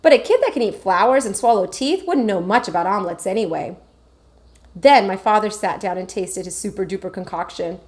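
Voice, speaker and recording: A woman teacher reading a children's chapter book aloud with expressive, animated storytelling. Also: mid-range voice, fairly quick.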